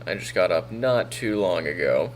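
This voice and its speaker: A man's voice: deep voice, moderate pace, a little hiss or hum. A man streaming on a weekend morning, chatting casually with a groggy, froggy morning voice. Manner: relaxed, talking to himself while troubleshooting. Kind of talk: casual live-stream chat.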